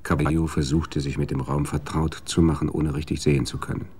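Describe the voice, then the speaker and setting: A man narrating a radio drama in a measured, sober storytelling manner. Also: very deep voice, level tone, a little mellow, husky.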